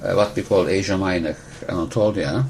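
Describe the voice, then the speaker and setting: Deep-voiced man. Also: creaky, darkish tone, slight background noise. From Turkey. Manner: measured, precise, pauses to rephrase. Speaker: physician, disease expert. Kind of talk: explanatory medical interview answers.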